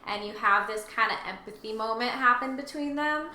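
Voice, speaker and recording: A woman with a bright, clear-edged voice at a middling pitch chats as a co-host about a story. The room is very echoey, with faint noise behind her, and her delivery is casual and conversational. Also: brisk.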